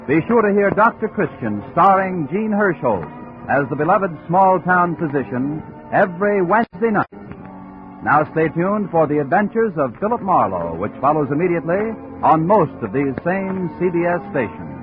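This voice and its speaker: An old, phone-like radio recording with faint music. An American male network announcer delivers a 1950s promo with animated, swinging pitch.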